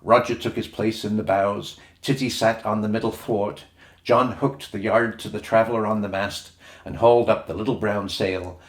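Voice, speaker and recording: Audiobook narrator, male, lower register, scripted storytelling read, noticeably roomy recording. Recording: roomy echoing sound.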